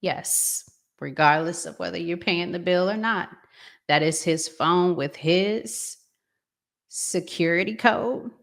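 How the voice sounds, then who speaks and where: A middle-aged woman hosts a casual reaction vlog. Her voice sits a little low and she talks very slowly. She thinks aloud in a halting, conversational way, unscripted throughout. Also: creaky, fairly bright tone. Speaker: vlog host giving commentary.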